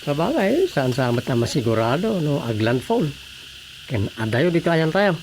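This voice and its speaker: A man's voice: fairly high pitch, sing-song, moderate pace, a little mellow, slight background noise. A man playing a fussy, anxious village official with an Ilocano accent in a radio comedy drama. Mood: conversational.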